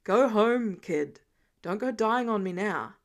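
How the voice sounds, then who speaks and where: A woman voicing a masked vigilante man in his thirties, gruff and protective, speaking in terse, firm scolding lines for an audio story. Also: mid-range voice, lively intonation, slow. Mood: stern, protective.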